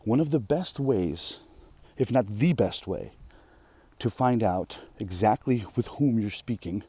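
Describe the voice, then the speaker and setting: Mellow-voiced man ranting, Russian native speaker. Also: fairly low pitch, lively intonation, measured pace, slightly rough. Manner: emphatic, profane, rambling. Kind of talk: casual rant monologue.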